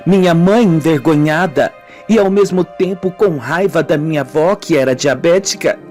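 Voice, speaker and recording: A woman with a Brazilian accent playing a wounded, brooding woman confessing her childhood in a melodramatic, deliberate radio soap style. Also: low voice, a little mellow, lightly acted. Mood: bitter. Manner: slow, melodramatic, deliberate storytelling. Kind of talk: amateur radio soap acting. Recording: music bed under the voice.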